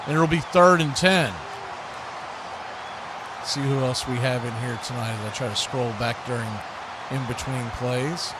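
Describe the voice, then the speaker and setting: A man's voice: mid-range voice, unhurried, long pauses. A man doing live football play-by-play in a folksy way, chatting to viewers between plays. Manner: folksy, excitable on big plays. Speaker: radio play-by-play announcer. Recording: clear background noise.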